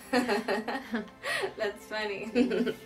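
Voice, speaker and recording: A woman's voice: on the high side, animated, extremely slow, faint music behind. An actress playing a giddy, tipsy party girl in an audio drama, giggly and rambling.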